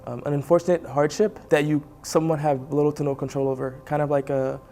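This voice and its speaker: A young man from Haiti sharing his personal story as a guest, earnest and pausing with fillers. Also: fairly high pitch, steady tone, mellow, soft background music. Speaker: spotlight guest. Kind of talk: personal testimony.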